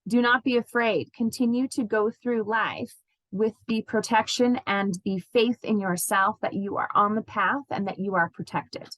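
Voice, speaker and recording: A woman giving a spiritual card reading as an intuitive healer, speaking in gentle, reassuring, halting phrases. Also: medium pitch, no echo, low-quality recording. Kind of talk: spiritual card reading addressed to named listeners.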